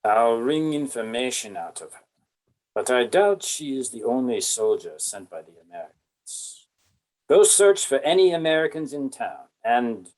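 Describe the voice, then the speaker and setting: A man's voice: mid-range voice, big pitch swings, measured pace, darkish tone, poor audio. A man playing a ruthless, scornful military general in an amateur table read.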